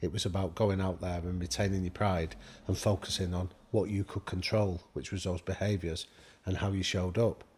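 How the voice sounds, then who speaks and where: British guy with a husky voice, kinda low, chatty storyteller doing little bits of dialogue. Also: moderate pace. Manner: animated anecdotes acting out quoted dialogue. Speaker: guest expert on high performance. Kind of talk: conversational storytelling.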